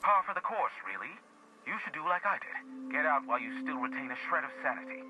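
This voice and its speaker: A man's voice: mid-range voice, sing-song, fast talker, pushed and bright, faint music behind, rough recording. An actor playing a cranky older male hermit broadcaster, pompous, wordy and sarcastic. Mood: exasperated. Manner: snappish and sarcastic. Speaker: reclusive radio broadcaster.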